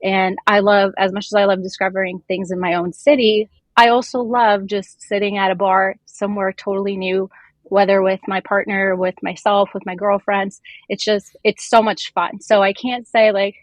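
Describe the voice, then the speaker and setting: A woman's voice: mid-range voice, level tone, normal speed, no room sound. Chatty woman podcast guest, native turkish speaker, informal, dry sound with no room on it. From immigrant raised in Canada and the US. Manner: talkative, informal. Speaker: podcast guest, beer content creator. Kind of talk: casual chatty interview.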